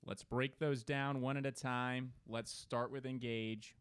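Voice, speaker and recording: A man hosting a radio interview, asking questions in a measured, even way. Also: medium pitch, smooth. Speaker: radio host.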